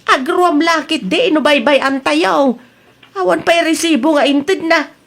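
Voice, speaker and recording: A high-pitched woman's voice with an Ilocano accent acting out a village wife in a comedy radio-style drama. She is chatty and evasive, full of exclamations and quick retorts. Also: fairly bright tone. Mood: fretful.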